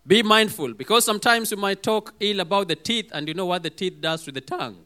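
A man with a hoarse voice preaching a sermon, calling out for amens and acting out little quoted voices as he goes. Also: sits high, fairly quick. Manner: lively, calls for amens, acts out quoted voices.

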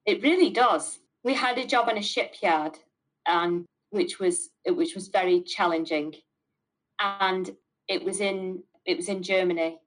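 A woman's voice: fairly low pitch, unhurried, rough recording. Middle-aged Mancunian woman, business owner, blunt and emphatic in interview. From north of England. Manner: emphatic, repeats words for stress. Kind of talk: candid interview answers.

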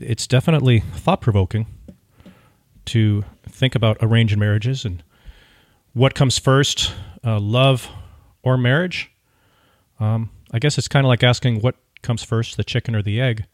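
A man co-hosting a podcast in casual conversation, speaking in halting, searching phrases. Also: medium pitch, no echo, clean studio sound. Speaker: podcast co-host.

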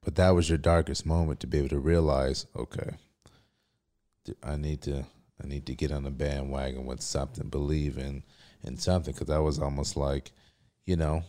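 A man's voice: rumbling low, level tone, normal speed, warm tone. A man hosting a casual chat, mostly chiming in with short agreeing words and laughs. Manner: supportive, constant short agreeing backchannels. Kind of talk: casual conversation.

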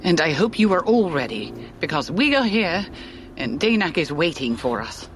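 Actress as alien elder woman, formal, commanding yet caring, expressive pitch, audio drama, noisy ambience. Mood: reassuring, solemn. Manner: formal, measured phrasing.

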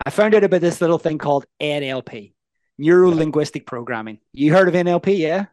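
A man in his thirties with a Northern Irish accent, telling his story as a coaching guest in an earnest, energetic way. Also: a little high, brisk, dry recording, clean studio sound. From Northern Ireland. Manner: energetic, earnest, asks the listener questions. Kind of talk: casual interview storytelling.

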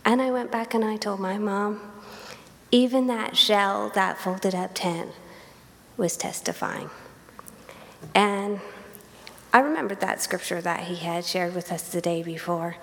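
A woman, a family member of the deceased, speaking softly at a memorial service and sometimes dropping to a whisper. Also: mid-range voice, fairly even intonation, a little slow, long pauses. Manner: soft, dropping to near-whispers. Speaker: family member giving a eulogy. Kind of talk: personal memorial remarks. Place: church sanctuary. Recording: reverberant hall.

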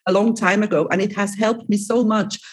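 Husky middle-aged woman host. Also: medium pitch, fairly even intonation, fairly quick. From Switzerland. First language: German. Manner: warm, affirming, shares her own experience. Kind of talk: conversational interview.

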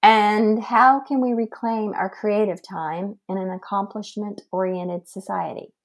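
A woman's voice: medium pitch, steady tone, unhurried. A woman narrating a scripted podcast introduction as a co-host.

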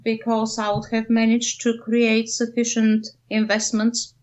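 A woman's voice: fairly high pitch, monotone, measured pace, darkish tone, muffled recording. A woman in her fifties from Bulgaria, speaking, giving thoughtful, wry interview answers over a video call with frequent ums. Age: 50s. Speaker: guest, professor.

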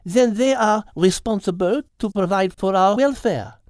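A woman's voice: medium pitch, a little mellow, no room sound, studio quality, lightly acted. Woman voicing a logical, composed French lady cat. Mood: calm, reassuring. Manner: measured, precise, reasoning aloud. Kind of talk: audiobook character acting.

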